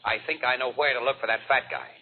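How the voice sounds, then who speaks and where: An actor playing a brash, wisecracking crime photographer, eager and insistent, in a 1940s radio drama. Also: male voice, medium pitch, fairly even intonation, quick pace, narrow, tinny audio, natural voice. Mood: eager, persuasive. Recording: noisy, band-limited old radio recording.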